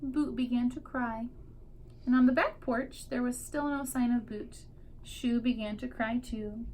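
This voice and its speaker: A woman's voice: a little high, fairly even intonation, unhurried, darkish tone, a little hiss or hum, low-quality recording. A woman teacher reading a picture book aloud expressively to young children, drawing out key words for emphasis.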